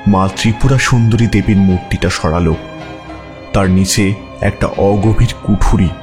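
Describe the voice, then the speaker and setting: A man giving a dramatic, suspenseful scripted narration of a horror story. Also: on the low side. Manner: suspenseful, theatrical.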